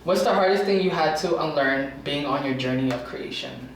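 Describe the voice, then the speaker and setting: A woman's voice: low-pitched, moderate pace. Nyc woman podcast host, slangy and hyping the guest, really echoey, kinda far from mic. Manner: slangy, hyping the guest, frequent short affirmations. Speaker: podcast interviewer. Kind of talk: casual chat interview. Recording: very echoey room, distant mic, faint noise.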